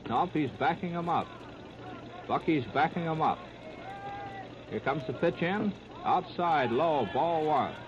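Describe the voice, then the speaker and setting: A muffled, noisy 1930s radio recording of an American man announcing a ball game. His voice sits fairly high, and he reports each move in short, terse phrases as a live play-by-play commentator. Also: animated, unhurried, pauses often. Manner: clipped, terse running phrases. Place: ballpark broadcast.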